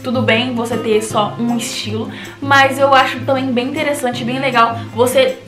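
Chatty teen Brazilian woman, echoey room. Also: high-pitched. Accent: Brazilian Portuguese. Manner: chatty, many fillers like "tipo assim" and "entendeu?". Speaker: vlogger. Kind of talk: casual Q&A vlog.

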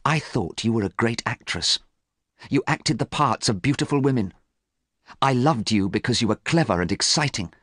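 A man voicing a proud young gentleman, bitter and wounded in love, in a storybook reading. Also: mid-range voice, animated, moderate pace, husky, no room sound, clean studio sound, pitched above the performer's own voice. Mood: cold anger.

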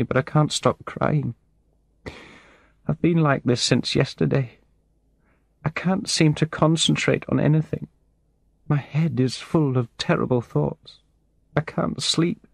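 A middle-aged woman on the edge of breakdown, played by a man reading a story. The voice is lifted high and shakes with emotion as it confides and pleads. The sound is close and dry. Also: sing-song, a little slow, pauses often, a little mellow, husky, dry recording, heavily acted. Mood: tearful, desperate. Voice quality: shaky voice. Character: distraught, weeping middle-aged woman, trapped and despairing.

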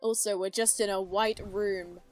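A woman giving casual, excitable and gushing reaction commentary. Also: medium pitch, a little slow, music in the background.

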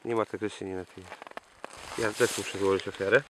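A man's voice: lowish voice, fairly even intonation, brisk, poor audio. A man narrating a trip casually to camera, with a wry, mock-formal manner. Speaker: expedition cyclist. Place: open outdoors on a frozen lake. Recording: outdoors with loud noise and clicks.

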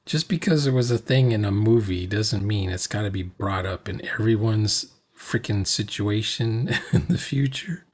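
A man's voice: lowish voice, normal speed, hardly any pauses, breathy edge. A man giving casual running commentary as a reviewer of a game session. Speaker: reaction commentator.

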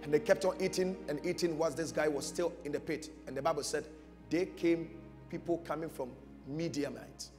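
Male pastor, higher-pitched, emphatic sermon storyteller in a reverberant hall. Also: normal speed, darkish tone. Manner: emphatic, repeats short phrases, acts out dialogue. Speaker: pastor preaching. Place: large church stage before a seated audience. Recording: music behind, long hall reverb.

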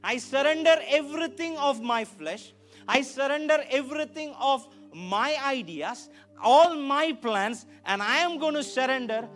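A man's voice: very high voice, lively intonation, a little slow, fairly bright tone, faint music behind. A middle-aged man preaching a church sermon into a handheld microphone, emphatic and repeating phrases to drive them home. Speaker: pastor preaching. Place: church stage, indoors.

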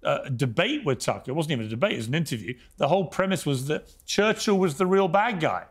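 A man's voice: a little high, animated, quick pace. A British man hosting a talk show, pressing his guests with pushy, incredulous questions and interrupting. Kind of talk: combative interview.

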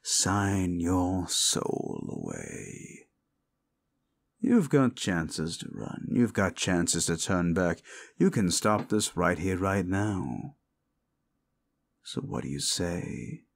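A smug male demon, acted by a man, mocks his listener in an intimate roleplay drama. His voice sits low and creaks with fry, while his pitch swings widely as he condescends. He is sardonic and exasperated throughout. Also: very animated, very slow, exaggerated voice. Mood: taunting, smug. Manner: condescending, mocking, drawling exasperation.

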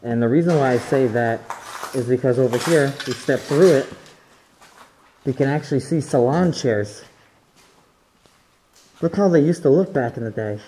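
A man's voice: mid-range voice, normal speed, pauses often, dark tone, breathy edge, poor audio. A young man casually narrating as he explores an abandoned resort, speculating about what each room used to be. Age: young adult. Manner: chatty, speculative, easily impressed. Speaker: urban explorer. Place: large abandoned resort building, indoors. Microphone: handheld camera.

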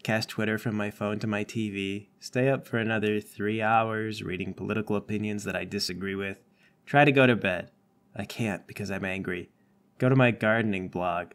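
A man playing a tabletop game, trading casual comic banter in a deadpan way. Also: fairly low pitch, moderate pace, smooth.

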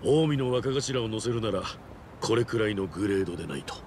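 An actor playing a polished, composed fixer in a game, speaking politely with dry wit. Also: male voice, fairly low pitch, animated, a little mellow, a little hiss or hum, natural voice. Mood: courteous. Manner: polite, measured, wry. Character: polished, composed male fixer, dryly courteous.

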